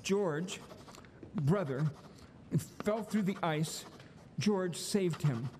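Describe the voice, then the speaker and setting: Someone playing meek, eager apprentice angel in a hall. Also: male voice, high-pitched, extremely slow, a little mellow, a little hiss or hum. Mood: eager, flustered. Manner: polite, flustered, deferential. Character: eager, meek, two-hundred-year-old apprentice angel. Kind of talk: stage radio-play acting. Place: school auditorium stage. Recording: reverberant hall with music.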